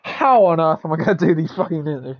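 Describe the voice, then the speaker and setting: A teenage man with a hoarse, nearly lost voice, commentating a sim race and then hosting the stream in casual chat. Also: on the high side, animated, quick pace, highs cut off. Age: teens. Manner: shouts calls at the finish, then reads results and chats loosely with fillers.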